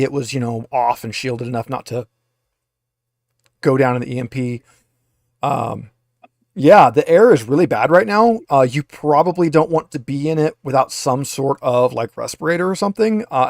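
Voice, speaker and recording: A man's voice: medium pitch, vocal fry, darkish tone, no echo, studio quality. A man speaking as a game master, explaining rules and narrating in a casual, conversational way.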